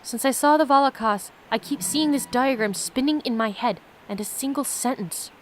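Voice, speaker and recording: A solemn diarist from a video game, read by a woman, recounts strange visions in a measured, fairly high voice. Light noise sits behind the storytelling. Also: close to an everyday voice, low-quality recording. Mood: pondering. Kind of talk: reading story text aloud.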